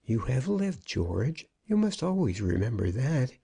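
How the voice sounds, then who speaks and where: A plaintive old shopkeeper from a storybook, acted by a male narrator. The voice is lifted high and a little rough, wheedling and pleading as it dodges every question. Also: very animated, a little slow, warm tone, full character voice. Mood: pleading, defensive. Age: older. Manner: piteous, wheedling. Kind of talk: audiobook character acting.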